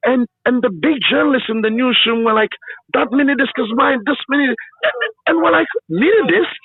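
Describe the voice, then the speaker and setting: A Ghanaian-accented man who works in radio, telling stories in an interview in an emphatic, animated, conversational way. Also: extremely high pitch, normal speed, husky, dry recording, narrow, tinny audio. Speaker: radio broadcaster being interviewed.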